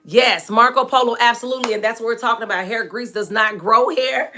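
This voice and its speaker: Chatty fortyish lady on a livestream, frank, laughs a lot, voice kinda hoarse. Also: fairly high pitch, steady tone, brisk, pushed and bright, soft background music. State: hoarse and dry voice from staying up late. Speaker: natural hair care host and product maker. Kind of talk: live-stream chat answering viewer comments.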